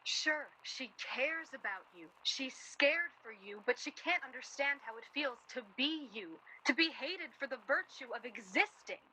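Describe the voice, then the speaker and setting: A woman's voice: high-pitched, lively intonation, unhurried, hardly any pauses, sharp tone, a little hiss or hum, heavily acted, poor audio. An actress playing a guarded, bitter and blunt young woman in a naturalistic radio play, arguing her points plainly. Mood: intense, bitter. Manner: blunt, argumentative. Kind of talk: radio play dialogue, naturalistic period drama.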